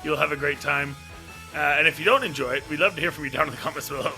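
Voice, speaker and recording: The keen guy on a movie podcast, his very bright, cutting voice riding over background guitar music. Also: fairly high pitch, racing, rough recording. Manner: enthusiastic. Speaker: podcast co-host reviewing a movie. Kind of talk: casual chat review. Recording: music behind the voice.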